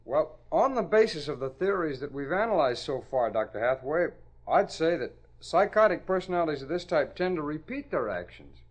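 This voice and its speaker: A man's voice: fairly high pitch, big pitch swings, brisk. An American man playing an earnest, inquisitive graduate student in a 1950s radio drama, polite and studied. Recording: vintage radio broadcast.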